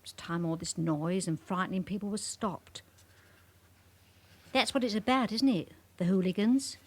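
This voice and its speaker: A woman's voice: medium pitch, normal speed, breathy edge, lightly acted. Female neighbourhood witness, radio play: emphatic, gossipy, indignant yet fearful. Actress. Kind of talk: naturalistic radio drama acting.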